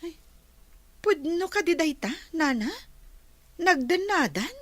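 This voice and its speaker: A woman's voice: very high voice, very animated, slow pace, fairly bright tone, no echo, exaggerated voice. A woman acting a caring, earnest and inquisitive young woman in an Ilocano radio drama. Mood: startled, inquisitive.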